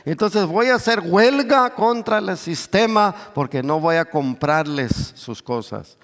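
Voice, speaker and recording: A middle-aged man preaching in Spanish, with as his language, who peppers his sermon with hallelujahs, calls for amen, and swells toward near shouts. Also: sits high, expressive, unhurried. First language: English. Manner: moves about and gestures on stage, voice swells to near shouts. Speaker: pastor. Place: large church stage. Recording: long reverb of a big church hall.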